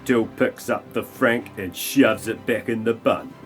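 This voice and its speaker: Male narrator, fairly deep, mock-dramatic comic storytelling, audio-play script, faint music behind. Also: fairly even intonation, unhurried, fairly bright tone.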